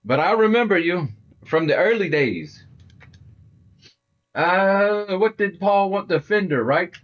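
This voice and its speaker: A middle-aged man hosting a retro gaming livestream, talking loudly and chatting with his viewers. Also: sits high, expressive, slow pace, muffled recording. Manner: loud, chatty, talks to viewers. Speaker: livestream host. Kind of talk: casual gaming livestream chat.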